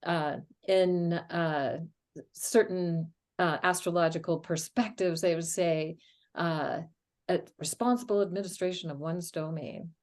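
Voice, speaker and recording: Female host, easy conversational tone, lowish pitch, quick to laugh, lots of uhs. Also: steady tone. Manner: warm and laughing, with many uh fillers. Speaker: astrology podcast host. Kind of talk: casual conversation.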